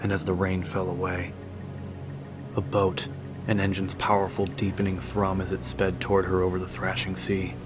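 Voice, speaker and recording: A man with a very low voice narrates a dramatic story as an audiobook. His pitch moves very little, staying nearly monotone. Music plays clearly behind his reading. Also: moderate pace.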